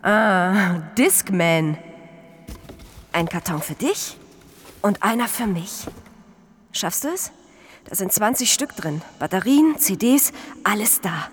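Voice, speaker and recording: A woman's voice: mid-range voice, expressive, takes it slowly, pauses often, breathy edge. An actress playing a brash, streetwise homeless woman, colloquial and urging, in a German radio crime drama. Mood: excited, coaxing. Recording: noticeable background noise.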